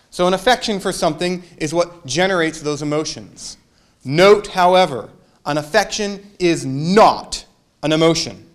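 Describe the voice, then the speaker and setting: A man giving a casual sermon to a congregation, joking and quizzing his listeners. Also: a little high. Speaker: minister.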